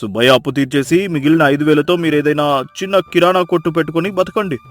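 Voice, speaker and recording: A man's voice: fairly high pitch, fast talker, no echo, lightly acted. A man voicing a greedy, pompous, bossy city brother-in-law in a moral story. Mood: alarmed, coaxing.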